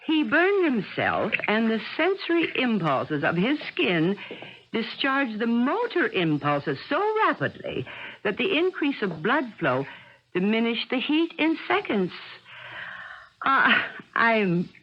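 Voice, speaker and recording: A woman playing an anxious yet resolute middle-aged mother in a radio mystery drama, with a husky, theatrical delivery. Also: a little high, slow pace, full character voice. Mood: brittle politeness. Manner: expressive, theatrical. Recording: dull old radio recording.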